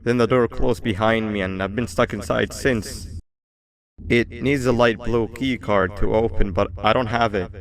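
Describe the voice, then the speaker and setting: A man playing a low-voiced trapped stranger. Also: close to an everyday voice, low-quality recording. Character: trapped man pleading for help over security cameras. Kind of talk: amateur game-based audio drama.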